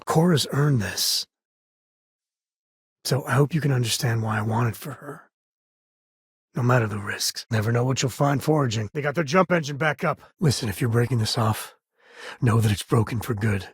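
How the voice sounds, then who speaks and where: An actor playing an easygoing, devoted middle-aged space explorer and father in a video game, with a husky voice and a folksy, wry, colloquial way of talking. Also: medium pitch, moderate pace, pauses often, dry recording, studio quality. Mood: earnest. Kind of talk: video game voice acting, naturalistic dialogue.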